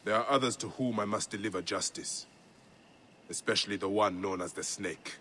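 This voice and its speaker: A man's voice: fairly low pitch, normal speed, bright, forceful tone, a little hiss or hum. A man playing a grave, resolute, vengeful ancient Egyptian warrior in a dramatic video game. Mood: grave, determined. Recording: music behind the voice.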